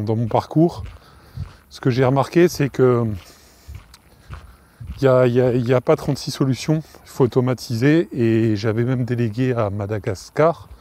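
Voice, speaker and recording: A man's voice: medium pitch, dark tone. A French-speaking man with a cold, talking casually and informally to the listener, with many fillers and restarts. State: has a cold, coughing. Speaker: podcast host.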